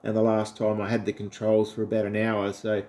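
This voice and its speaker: A man's voice: lowish voice, completely flat delivery, brisk, darkish tone. A man with an Australian accent chatting casually as an amateur radio operator, friendly and complimentary in manner. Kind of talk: casual ham radio conversation.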